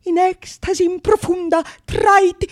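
Very high-pitched, whining fool. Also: female voice, steady tone, slow, exaggerated voice. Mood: plaintive, affronted. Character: whining foolish men, fawning and self-important. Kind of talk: stage monologue radio drama.

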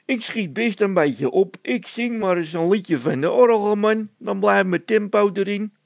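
Man voicing a high-pitched, naive village labourer. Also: a little slow, gravelly, dry recording. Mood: grumbling. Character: De Wit, simple cheerful male village labourer who loves singing.

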